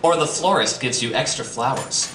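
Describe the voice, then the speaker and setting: A man's voice: mid-range voice, normal speed, pushed and bright, recorded from a distance. A man doing a comedic live reading for an audience, theatrical, with dry joking asides. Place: bistro live show with audience. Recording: echoey, noisy live stream from off mic.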